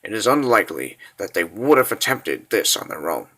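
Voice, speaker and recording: A man playing a sinister, calculating military spymaster in a fantasy comic dub, speaking in a measured, insinuating hush. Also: huge pitch swings, creaky, full character voice. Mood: grave, measured. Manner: measured, insinuating, low and hushed.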